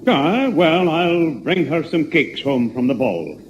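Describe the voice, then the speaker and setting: A man's voice: on the high side, animated, takes it slowly. A man playing a miserly, blustering Scottish baron and stern father in a 1960s radio pantomime. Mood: self-satisfied. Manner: blustering, pompous. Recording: old radio recording, noisy studio audience.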